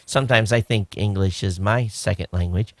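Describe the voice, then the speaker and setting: A man with a fairly low voice co-hosts a casual podcast chat, rambling along with plenty of "you know"s. The sound is dry and close, with no room echo. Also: moderate pace. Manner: relaxed, rambling with frequent fillers. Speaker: podcast co-host.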